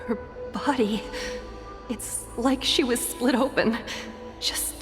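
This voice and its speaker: A shaken young soldier speaking with urgent awe. Her higher voice sounds distant, trailing a long reverb, with faint music behind. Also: steady tone, slow pace. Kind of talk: video game cutscene acting.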